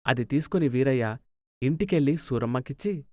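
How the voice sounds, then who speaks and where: A man's voice: mid-range voice, expressive, normal speed, warm tone, no room sound. A man narrating a scripted moral story as a storyteller.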